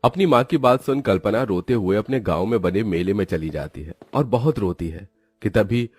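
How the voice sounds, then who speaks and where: A man's voice: on the low side, animated, normal speed, darkish tone, slightly rough, no echo, soft background music. A man reading scripted storybook narration for a cartoon.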